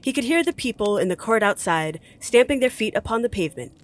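A woman's voice: a little high, lively intonation, fast. A woman narrating a story theatrically, with emphatic, dramatic delivery. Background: music.

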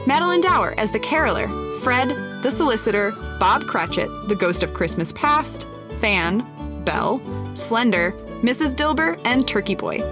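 A woman's voice: fairly high pitch, sing-song, moderate pace, music in the background. A woman announcer giving a scripted read of production credits.

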